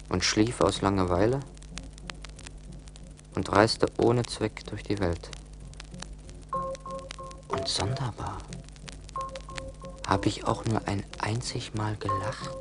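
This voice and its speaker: An actor playing a rich, cold-hearted young man in a radio play, joyless, deliberate and self-important. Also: fairly low pitch, steady tone, music in the background, rough recording. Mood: weary, brooding. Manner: brooding, deliberate, haughty.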